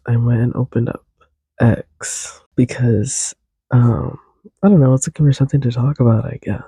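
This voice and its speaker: A man's voice: mid-range voice, creaky, dark tone, slightly rough, no echo, rough recording. A young man hosting a solo podcast, rambling casually and a little congested, drifting off on tangents and humming tunes. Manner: casual, tangent-prone, hums and sings tunes. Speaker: podcast host.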